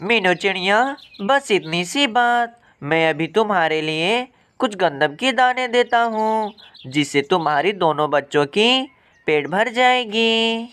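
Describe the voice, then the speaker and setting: A man's voice: high voice, expressive, slow, a bit bright, smooth, exaggerated voice. A man voicing a helpful male parrot friend in a cartoon story, obliging and reassuring. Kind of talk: cartoon moral story acting.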